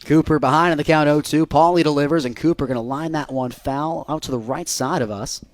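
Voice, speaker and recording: A man's voice: on the high side, brisk, dry recording, studio quality. A young American man doing live radio play-by-play of a baseball game as the announcer.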